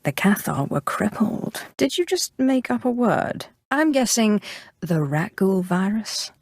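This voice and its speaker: An actress playing a cool, ambitious Sith inquisitor in a video game, formal, haughty and commanding in measured, scripted lines. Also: female voice, mid-range voice, very animated, darkish tone, slightly rough, dry recording, full character voice. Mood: commanding, disdainful.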